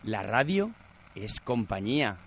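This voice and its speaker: A male announcer reads a scripted radio promo. His voice is fairly low and slow, with animated intonation. Faint noise runs behind.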